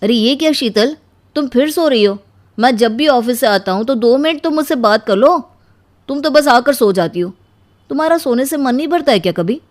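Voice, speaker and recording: A woman's voice: high-pitched, animated, moderate pace, pitched above the performer's own voice. A woman playing a grumbling, self-concerned young husband in a cartoon story, speaking in a complaining, reproachful way.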